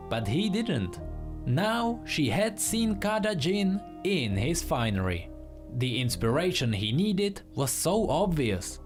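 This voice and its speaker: A man narrates a dramatic tale in a measured, theatrical manner. His pitch moves expressively while the pace stays slow, with faint music underneath. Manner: measured, theatrical, acts out dialogue. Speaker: story narrator.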